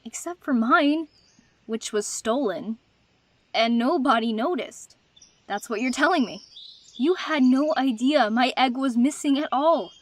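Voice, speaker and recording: A woman's voice: high voice, measured pace. A woman playing a proud, wounded young female dragon in a fantasy dub, dry, guarded and sarcastic. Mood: indignant. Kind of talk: dubbed fantasy drama acting.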